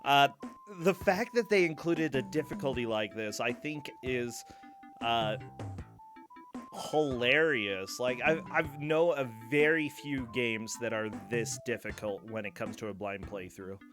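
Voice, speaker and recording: A man's voice: medium pitch, unhurried, over music. A man hosting a streamed game show, chatting casually and joking playfully. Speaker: host.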